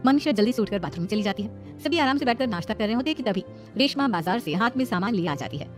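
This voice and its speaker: A woman's voice: medium pitch, expressive, fast talker, music in the background. A woman narrating a scripted moral story.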